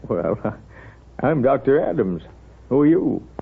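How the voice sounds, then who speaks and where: A folksy small-town doctor in a 1930s radio drama, kindly and wry. His voice creaks and rasps, swinging widely in pitch. The recording is thin and tinny. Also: very animated, heavily acted. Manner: folksy, wry, unhurried, hesitant drawn-out asides.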